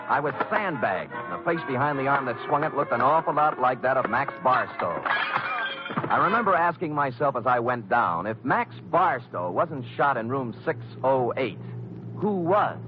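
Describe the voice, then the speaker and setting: A man's voice: mid-range voice, sing-song, moderate pace, lightly acted. An American man playing a tough, wisecracking private detective in an old-time radio noir drama, speaking in a wry, clipped manner. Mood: grim, recounting. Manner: hard-boiled, wry, clipped. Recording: dull vintage radio recording with music.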